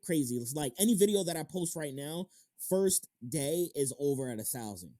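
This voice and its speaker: A man's voice: a little high, lively intonation, normal speed, mellow, no room sound, clean studio sound. A man hosting a casual interview, talking in slangy, profanity-laced hype as he tells stories. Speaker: interviewer, YouTube channel host.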